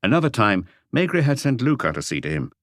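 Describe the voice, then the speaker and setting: A man's voice: medium pitch, sing-song, fast talker, dry recording, studio quality. A man reading scripted narration as the narrator of a mystery audiobook.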